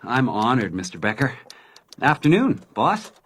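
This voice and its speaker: An actor playing an eager, cocky young newspaper writer in a period radio drama, self-assured and insistent. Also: male voice, mid-range voice, sing-song, a little slow, fairly bright tone, faint music behind. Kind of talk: 1920s detective radio drama acting.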